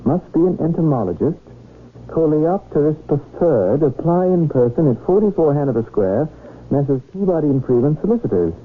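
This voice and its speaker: An earnest young doctor husband in an old radio play, very animated, thin hissy broadcast sound. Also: male voice, on the high side, normal speed, husky, narrow, tinny audio. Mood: intrigued, candid. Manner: earnest, expressive, well-spoken. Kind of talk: old-time radio drama acting. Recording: noisy, band-limited old radio recording.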